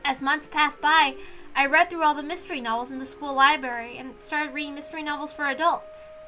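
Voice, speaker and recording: Woman as a very high-voiced, bookish young mystery fan. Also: steady tone, fairly quick, sharp tone, soft background music. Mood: reflective. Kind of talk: dramatic reading of a visual novel.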